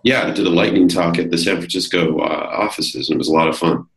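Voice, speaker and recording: A man with a deep, slightly worn voice speaks as a podcast guest. He explains technical points in a relaxed way, full of little fillers. The recording carries a roomy echo. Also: fast, gravelly. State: slightly hoarse. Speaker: guest, developer advocate. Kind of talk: casual technical interview.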